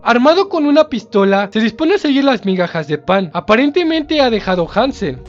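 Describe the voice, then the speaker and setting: High voiced guy reading a scripted horror story, bit dull lofi sound. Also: very animated, a little fast, slight background noise. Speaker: narrator. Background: background music.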